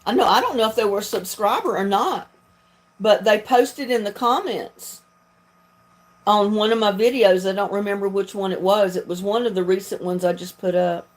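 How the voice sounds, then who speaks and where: Woman, Southern US accent, mid-pitched voice, folksy, chatty, casual livestream talk. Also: normal speed. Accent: Southern US, North Carolina. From North Carolina, United States. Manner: folksy, chatty, laughs often. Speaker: video creator hosting a livestream.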